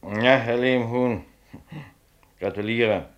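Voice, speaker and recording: An actor playing a brisk company doctor in a German radio play, clipped and casually authoritative, hemming between questions. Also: male voice, medium pitch, highs cut off, lightly acted. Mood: jovial, probing. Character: brisk, routine-minded male company doctor.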